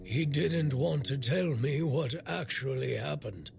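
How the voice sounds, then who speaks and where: An actor playing a bitter, blunt, middle-aged blacksmith in a video game, grumbling and weary with worry. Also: male voice, mid-range voice, measured pace, gravelly, a little mellow, faint music behind. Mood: bitter, dismissive. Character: gruff, bitter male blacksmith, worried for a stricken young man.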